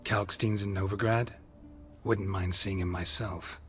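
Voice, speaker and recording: Really deep deadpan monster hunter, terse and stoic, video game cutscene w music. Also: male voice, a little slow. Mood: dry. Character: stoic, laconic male monster hunter, dry and curt. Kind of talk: video game dialogue acting.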